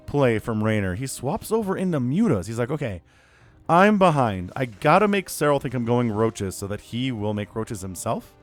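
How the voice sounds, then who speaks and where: A man giving solo play-by-play commentary on a strategy video game, casual and excitable, his voice still a little rough from recovering. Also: mid-range voice. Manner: casual, excitable, rises to yelling at big fights. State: voice still recovering, cracking at times. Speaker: StarCraft caster.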